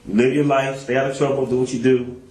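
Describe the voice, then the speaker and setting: Fast-talking American man, echoey room. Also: medium pitch, little pitch movement, darkish tone. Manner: colloquial, familiar. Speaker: police interrogator. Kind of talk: casual questioning. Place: indoor interview room. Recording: echoey interview room recording.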